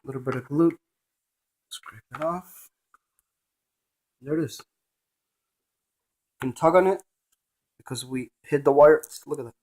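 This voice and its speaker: A man's voice: a little high, darkish tone. A man presenting a casual hands-on gadget demonstration, narrating each step in short fragments.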